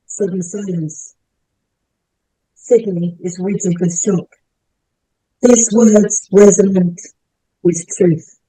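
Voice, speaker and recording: A woman's voice: medium pitch, steady tone, takes it slowly, long pauses, mellow, no room sound, rough recording. A woman narrator reading stage directions aloud at a dramatic script table read.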